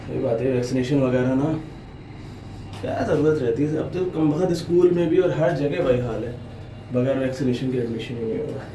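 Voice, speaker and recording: A young man with a sore, hoarse throat chatting straight to viewers as a family vlogger, filming on a handheld phone. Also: mid-range voice, brisk. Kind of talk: casual vlog narration. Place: outdoors by a car, then a lift. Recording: loud traffic and vehicle noise.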